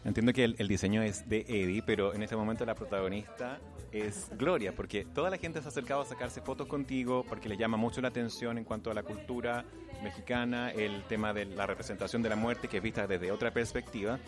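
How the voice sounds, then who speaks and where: A man's voice: on the low side, steady tone, brisk. A man working as a radio interviewer at a cultural event, asking polite questions with small restarts. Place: embassy cultural celebration. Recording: interview with loud music behind. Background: music playing.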